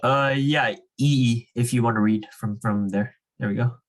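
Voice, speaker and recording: A man's voice: mid-range voice, steady tone, unhurried. Encouraging man leading a reading club. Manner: encouraging, informal. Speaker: reading club leader and coach. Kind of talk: reading a novel aloud and chatting with students.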